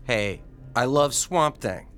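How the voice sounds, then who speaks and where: A man's voice: mid-range voice, animated, slow pace, a bit bright, faint music behind. A man playing a friendly, enthusiastic comic book fan with a teasing, keen manner in a radio drama. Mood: eager debating.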